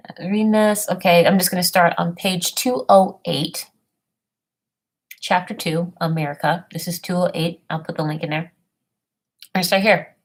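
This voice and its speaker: A woman talking casually about history research and then reading an old text aloud haltingly, often stumbling over hard words and names. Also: lowish voice, steady tone. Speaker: self-made history presenter.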